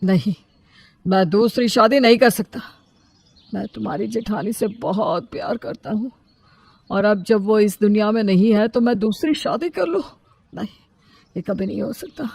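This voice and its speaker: A woman's voice: mid-range voice, gravelly, darkish tone, husky, pitched below the performer's own voice. A woman voicing a grieving, stubborn widowed elder brother in a storybook tale, mournful and protesting. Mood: hurt, firm refusal. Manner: mournful, protesting, drawn-out phrases.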